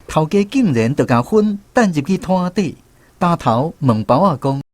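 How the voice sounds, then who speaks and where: An actor narrating as a former conscript in a radio drama, telling scripted first-person memories with a Taiwanese accent. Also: male voice, on the high side, lively intonation, moderate pace, no room sound, clean studio sound, close to an everyday voice. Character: wry former conscript narrating his island army memories. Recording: music bed under narration.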